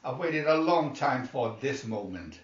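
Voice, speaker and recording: A man's voice: mid-range voice, lively intonation, unhurried, natural voice. A man voicing a gloating, scheming male villain in a storybook reading, with theatrical menace. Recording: dull, roomy, distant microphone.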